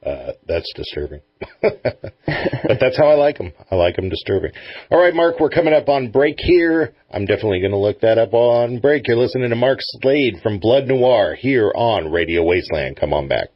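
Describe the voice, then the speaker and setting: A man's voice: fairly low pitch, very animated, moderate pace, husky, no room sound. A man hosting a radio show, chatting informally and enthusiastically with a guest. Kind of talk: casual interview chat. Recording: muffled, lo-fi radio feed.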